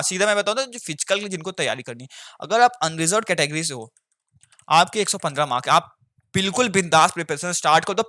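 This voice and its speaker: A young Indian man talks straight to his viewers in an informal explainer. His voice sits a little high and has a bright, pressing edge. He restates key points and slips between two languages, with a dry, close sound. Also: no echo. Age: young adult. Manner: repeats points for emphasis, switches between Hindi and English. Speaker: exam advice YouTuber and candidate.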